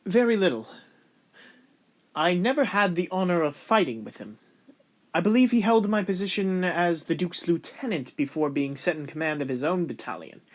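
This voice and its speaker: A man as a high-voiced, gallant young lieutenant. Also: animated, normal speed. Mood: reflective. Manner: formal, flowery. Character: courteous, devoted young lieutenant in love. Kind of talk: amateur period audio drama acting.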